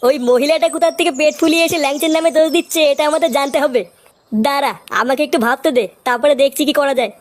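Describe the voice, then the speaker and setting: A level-headed young cartoon man acted out, working out schemes in slangy speech. The voice is high, artificially raised and quick, with faint noise underneath. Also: fairly even intonation. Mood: determined pondering. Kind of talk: cartoon dialogue.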